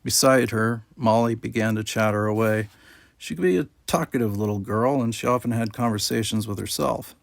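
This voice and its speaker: A man's voice: lowish voice, moderate pace, vocal fry, dry recording. A man reading a story aloud as scripted audiobook narration. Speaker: amateur audiobook reader.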